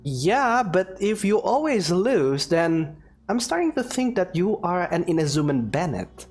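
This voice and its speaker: A man's voice: sits high, big pitch swings, a little slow, a little mellow, faint music behind. A man giving casual let's play commentary, with joking, teasing asides. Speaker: gaming video creator.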